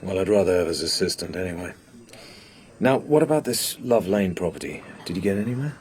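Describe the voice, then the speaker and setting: An actor playing a suave, world-weary British secret agent in a radio drama, with an English accent and a clipped, dry, understated delivery. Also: male voice, deep voice, animated, brisk, a little mellow. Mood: businesslike, dry.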